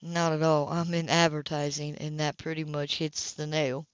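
A woman voicing a smooth, flirtatious, confident man in an amateur audiobook reading. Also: sits low, level tone, moderate pace, dry recording, voice pushed down, natural voice. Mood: smooth, casual. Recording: dull, lo-fi home recording.

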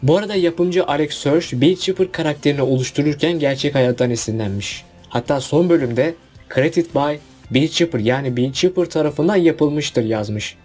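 A man's voice: fairly high pitch, normal speed, darkish tone, slightly rough, faint music behind. A man narrating a casual countdown video about cartoon finales in a chatty voice-over.

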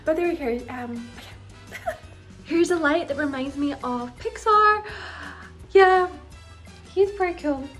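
A woman's voice: high voice, takes it slowly, pauses often, a bit bright, soft background music. Bubbly giggly young woman vlogging. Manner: giggly, bubbly, lots of "like" and "you guys". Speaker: vlogger giving a house tour. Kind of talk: casual chatty vlog. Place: bedroom of a house, indoors. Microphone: phone held at arm's length.

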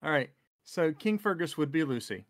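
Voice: mid-range voice, animated, moderate pace. A livestream co-host chatting casually in an informal, sweary way.

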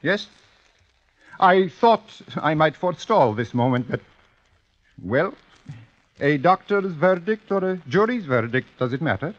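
An actor playing a proud, impassioned old Italian fencing master in a dramatic, pleading 1950s radio style. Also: male voice, on the high side, animated, unhurried, pauses often. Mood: resigned. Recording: dull vintage 1950s radio recording.

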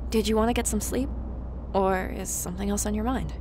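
A woman's voice: mid-range voice, fairly even intonation, brisk, background noise, natural voice. A woman voicing a plucky, stubborn young mare pony in an audiobook drama, earnest and emphatic. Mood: gentle, wry. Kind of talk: audiobook character acting. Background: music.

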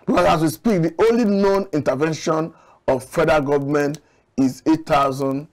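A middle-aged man from Nigeria, a studio guest analyst, making measured, argumentative points with occasional restarts, on a lapel mic. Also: fairly high pitch. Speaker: public affairs analyst guest. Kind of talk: studio TV discussion. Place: TV studio set.

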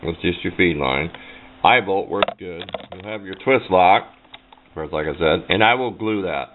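A man's voice: deep voice, moderate pace, background noise. A man giving a casual hands-on how-to demonstration in a plain-spoken, rambling, offhand way. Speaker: hobbyist presenter. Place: indoors at a workbench. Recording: tinny, band-limited camera microphone sound.

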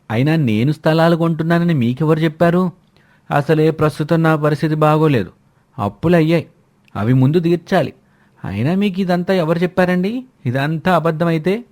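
Man acting defensive village neighbour, indignant, evasive, raised pitch, cartoon story. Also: darkish tone, close to an everyday voice. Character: evasive, indignant male neighbour pleading debts. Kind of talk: animated moral-story voice acting.

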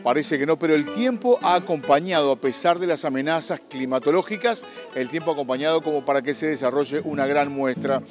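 A man's voice: fairly high pitch, a little fast, faint music behind. A middle-aged man, reporting from an outdoor livestock show and interviewing breeders on a handheld mic. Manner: lively presenter greeting, then quiet interview questions.

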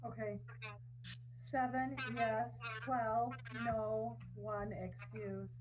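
A woman clerk reads out names and tallies in a slightly high-pitched voice. There is a light noise and hum under the recording. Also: level tone, very slow, highs cut off. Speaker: clerk calling the roll. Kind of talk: reading out names and figures.

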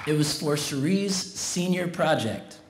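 Relaxed man speaking in a roomy tent. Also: on the high side, unhurried. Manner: relaxed, conversational, leads crowd in a chant. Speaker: commencement guest speaker. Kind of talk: commencement speech. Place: large tent at an outdoor graduation. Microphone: podium mic on a stand. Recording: roomy tent sound with faint noise.

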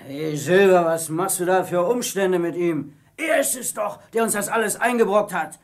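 An actor playing a suspicious, hostile mutinous pirate in a radio play, agitated and aggressive. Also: male voice, sits high, normal speed.

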